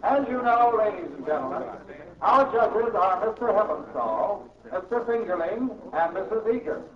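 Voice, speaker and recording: An actor playing a formal county fair announcer proclaiming to the public in a 1940s radio drama. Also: male voice, high voice, expressive, moderate pace. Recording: distant, echoey, dull radio broadcast.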